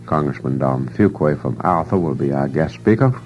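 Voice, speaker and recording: A man reading morning community announcements on a 1970 local radio show, in a folksy, unhurried manner. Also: very deep voice, animated, slight background noise. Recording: dull lo-fi archival radio recording.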